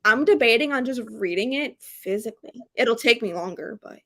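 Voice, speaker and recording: A woman with a high, bright voice talks casually as the host of a book chat. She is gushing and emphatic, often repeating a phrase to drive it home. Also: lively intonation, normal speed. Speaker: host of a book readalong live stream.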